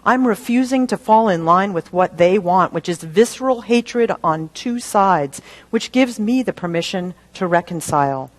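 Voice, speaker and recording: A woman minister delivering a sermon in a measured, deliberate way, using rhetorical questions and repetition for emphasis. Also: mid-range voice, expressive. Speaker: minister preaching.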